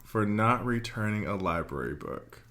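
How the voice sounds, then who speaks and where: A man with a New York accent co-hosting a podcast, relaxed and casual, swearing as he tells stories. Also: fairly low pitch, normal speed. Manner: laid-back storytelling, swears casually. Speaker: podcast co-host. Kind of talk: casual chat.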